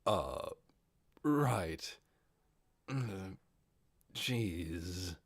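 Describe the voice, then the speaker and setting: A man's voice: low-pitched, animated, extremely slow, slightly rough, dry recording, heavily acted. A man voicing an awkward undead male overlord in an audiobook, hesitant and privately full of self-doubt. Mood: flustered. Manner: hesitant, sighing, then businesslike.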